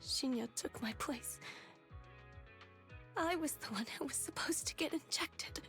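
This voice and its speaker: A woman voicing a sickly, resigned, selfless young girl in a video game, speaking gravely and haltingly. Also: high voice, fairly bright tone, soft background music. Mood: guilty, fearful.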